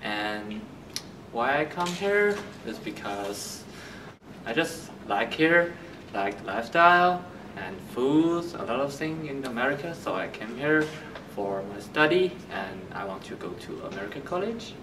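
Teen male exchange student, Chinese accent, recorded away from the mic with clear noise. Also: medium pitch, big pitch swings, unhurried. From Beijing, China. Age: teens. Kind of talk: self-introduction to camera. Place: indoor school room. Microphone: camera mic at a distance. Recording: roomy, distant mic, noisy background.

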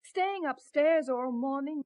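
A woman playing an exasperated, brisk wife and mother in a 1970s radio drama. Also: high-pitched, a little mellow.

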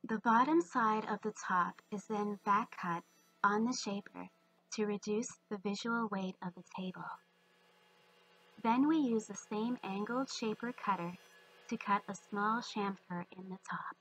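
A woman's voice: medium pitch, unhurried, fairly bright tone, a little hiss or hum, low-quality recording. A woman giving scripted instructional narration for a woodworking video.

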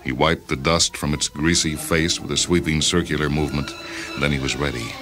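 Male narrator, extremely low bass voice, for dramatic short-story reading with deliberate pauses, music underneath. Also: steady tone. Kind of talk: scripted narration of a science fiction short story. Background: music behind the voice.

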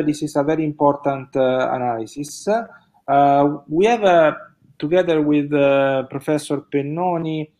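A middle-aged man answers questions over a video call, his voice sitting a little higher than most men's. He talks hesitantly, filling pauses and restarting sentences as he explains. The sound comes through a webcam microphone. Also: a little mellow. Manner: hesitant, many fillers and restarts. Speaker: keynote speaker answering questions. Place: indoors at home.

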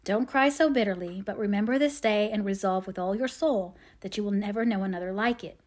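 Middle-aged storybook mother, voiced by a woman reader: patient, devout, tender, measured delivery. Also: mid-range voice, darkish tone, natural voice. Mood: gentle counsel.

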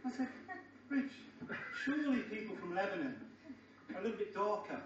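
Middle-aged man, comic best man speech, deliberate pauses, muffled distant recording, noisy crowd. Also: high voice, big pitch swings, heavy reverb. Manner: joking, storytelling with deliberate pauses. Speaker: wedding speaker, best man. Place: large function room, indoors. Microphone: far camera in the audience. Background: audience crowd and laughter.

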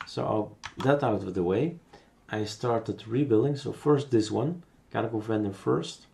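Low-pitched Belgian man with creaky voice. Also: a little slow, darkish tone, a little hiss or hum. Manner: unscripted, thinking aloud while working. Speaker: software tutorial presenter. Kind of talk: casual screencast tutorial.

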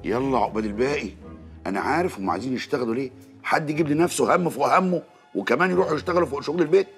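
An actor with an Egyptian accent playing a grumbling, disapproving chauvinist office colleague. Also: male voice, medium pitch, expressive, very fast, faint music behind, close to an everyday voice.

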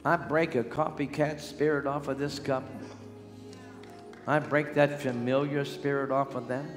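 An older man, a healing evangelist, praying and preaching with fervor on a large church stage, at times breaking into shouts and song. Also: medium pitch, level tone, a little slow. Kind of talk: revival preaching and prayer. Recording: long hall reverb with music behind.

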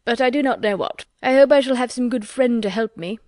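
Woman doing a gushing, dim young gentleman. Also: on the high side, a little fast, dry recording, clean studio sound, pitched above the performer's own voice, close to an everyday voice. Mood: eager. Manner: gushing, emphatic. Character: pompous, dim young gentleman, eager and rambling.

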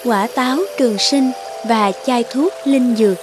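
A woman's voice: a little high, unhurried, over music. A woman narrator reading a scripted fairy tale in storybook style.

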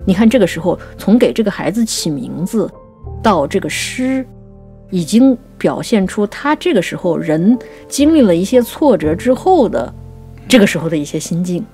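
Expressive woman discussing poetry over music. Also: medium pitch. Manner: explanatory, slows down to recite quotations. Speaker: writer and co-host of a poetry programme. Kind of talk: conversational literary discussion with recited classical verse.

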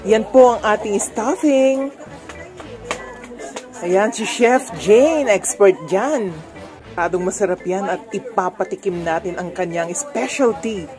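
A woman's voice: mid-range voice, measured pace. A middle-aged woman with a Filipino accent narrating a family food vlog with exclamations and cheery greetings. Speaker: vlogger. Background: music.

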